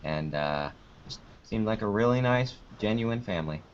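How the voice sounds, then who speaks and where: Male podcast guest, lower register, thinks aloud conversationally, dull low-fidelity recording. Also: animated, moderate pace, slight background noise. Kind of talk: casual interview chat. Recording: dull lo-fi online call audio.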